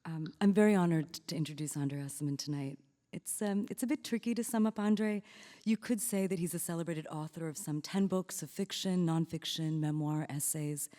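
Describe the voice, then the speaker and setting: A woman giving a prepared, measured introduction of an author on stage. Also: sits low, dark tone. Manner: measured, formal delivery. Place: auditorium on stage. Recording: hall reverb, stage mic.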